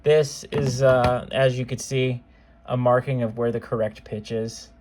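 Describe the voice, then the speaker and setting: Man, mid-pitched voice, casual product demonstration, seller, conversational, offhand phrasing. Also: steady tone, measured pace, a little mellow, slight background noise. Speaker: seller demonstrating modified cassette players.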